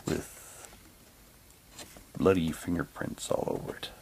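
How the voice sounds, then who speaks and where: A man's voice: low-pitched, steady tone, slow, vocal fry, darkish tone, slight background noise. A man casually reading printed material aloud and commenting on it, with offhand muttered asides. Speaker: unboxing presenter.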